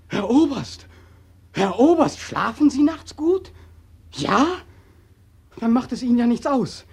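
An actor playing a haunted, sleepless corporal back from war, intense and insistent in a German radio play. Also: male voice, very high-pitched, a little mellow, breathy edge, full character voice. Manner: intense, insistent, declamatory, theatrical.

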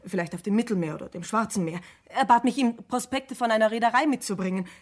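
A woman's voice: on the high side, fast talker, close to an everyday voice. An actress playing a loyal, nervous private secretary in a German radio crime play, polite and precise in her speech. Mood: insistent.

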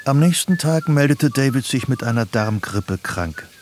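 A man's voice: medium pitch, animated, normal speed, music in the background. A man reading scripted literary narration as the narrator of a German radio play.

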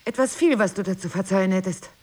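An actress playing a middle-aged wife, proud and guilt-ridden, in an intense, emotional stage-play dialogue. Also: female voice, medium pitch, fast, darkish tone, close to an everyday voice. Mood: resigned, doubting.

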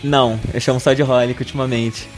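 A man's voice: mid-range voice, level tone, soft background music. A young man from Brazil co-hosting a casual podcast, joking and reading listener e-mails aloud. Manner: quick, joking, laughs often.

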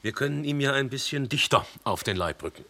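An actor playing a stern, authoritative official in a radio play who questions curtly and warns sharply. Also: male voice, mid-range voice, expressive, brisk, sharp tone. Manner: curt, warning, sometimes sharply raised. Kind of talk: radio drama acting.

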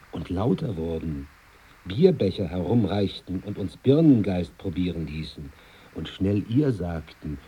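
A man narrating a literary monologue in long, flowing sentences, in the measured manner of a villager telling his story. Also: low-pitched, dark tone, a little hiss or hum, low-quality recording.

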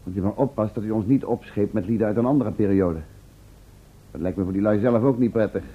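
A man's voice: fairly low pitch, quick pace, slight background noise, natural voice. A man playing a professor's curious, sceptical assistant in a Dutch radio play, speaking formally with dry irony. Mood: sarcastic. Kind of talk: Dutch radio drama acting. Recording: narrow, tinny old radio recording.

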